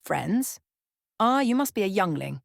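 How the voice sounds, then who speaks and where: A woman's voice: medium pitch, very animated, unhurried, creaky, dry recording. Cocky young male vampire for a storybook, played by a woman: sneering, taunting, dry close sound. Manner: smirking, taunting.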